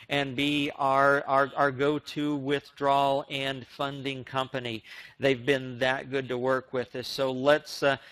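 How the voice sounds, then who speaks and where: A man hosting an informal business update for members on a conference call, speaking haltingly with repeated words and stammered restarts. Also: on the high side, a bit bright.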